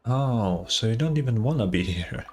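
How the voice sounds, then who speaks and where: A man's voice: medium pitch, lively intonation, moderate pace, darkish tone, slight background noise. A man giving casual, slangy gameplay commentary as a streamer, reacting aloud somewhat hesitantly. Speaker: game streamer. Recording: game music behind the voice.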